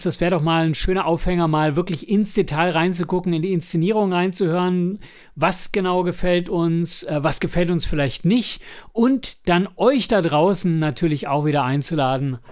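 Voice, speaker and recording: A man co-hosting a podcast in casual review talk, often halting and correcting himself mid-sentence. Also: high-pitched, a little mellow, smooth. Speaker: podcast co-host.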